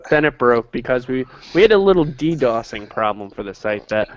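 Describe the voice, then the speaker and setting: A man's voice: mid-range voice, very animated, fairly quick, highs cut off. A man co-hosting a gaming podcast, talking casually with a wry, teasing manner. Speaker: podcast co-host. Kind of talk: casual chat.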